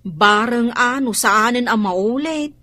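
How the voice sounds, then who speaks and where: A woman's voice: fairly high pitch, slow pace, sharp tone. A woman with an Ilocano accent playing a gossiping maid in a radio drama.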